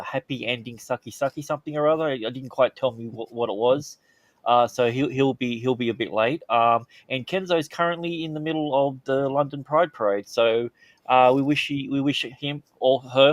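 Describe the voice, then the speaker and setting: A man's voice: medium pitch, no room sound. Australian man hosting a crude, rambling podcast. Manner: rambling, many fillers, crude jokey banter. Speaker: podcast host. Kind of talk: casual comedic podcast chat.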